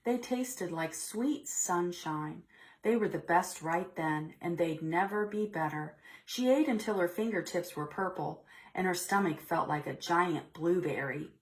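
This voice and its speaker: A woman leading a preschool storytime, reading a picture book aloud expressively and pausing to ask the children questions. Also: fairly low pitch, rough recording.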